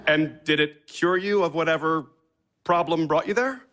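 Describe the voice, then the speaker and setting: A man's voice: on the high side, normal speed, a bit bright, lightly acted. An actor playing a sardonic, blunt young man in an audio play, mocking and profane in his speech. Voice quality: cutting, profane, sarcastic.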